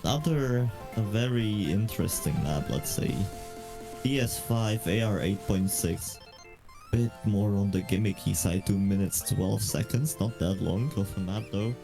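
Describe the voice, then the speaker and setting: A man's voice: lowish voice, a little slow, creaky, music in the background, low-quality recording. A man calling live esports play-by-play, exclaiming at the key moments. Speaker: esports commentator.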